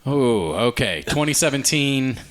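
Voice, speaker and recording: A man's voice: medium pitch, animated, unhurried, a bit bright, a little hiss or hum. A man hosting a casual panel podcast, chatting about media in a conversational way. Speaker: podcast host.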